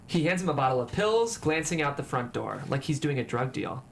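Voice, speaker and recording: A man's voice: medium pitch, very animated, a little fast. A man reading scripted stage directions as the narrator of a table read. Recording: clear background noise.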